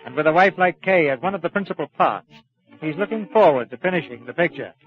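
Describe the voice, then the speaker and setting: An actor serving as the wry, polished narrator of a 1940s radio play. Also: male voice, fairly high pitch, lively intonation, quick pace, close to an everyday voice. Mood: wry, storytelling. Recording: muffled old radio recording.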